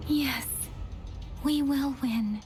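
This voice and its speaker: A young female fighter in a game drama, gentle and earnest. She speaks slowly in a high, soft, slightly breathy voice over faint music. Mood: solemn, tender. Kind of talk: dramatic game cutscene acting.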